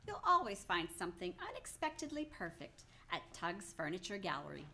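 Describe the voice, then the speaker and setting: A woman's voice: on the low side, very animated, a little fast, sharp tone. A woman co-hosting an old-time radio show with agreeable, rehearsed lines. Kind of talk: scripted old-time radio banter and sponsor reads.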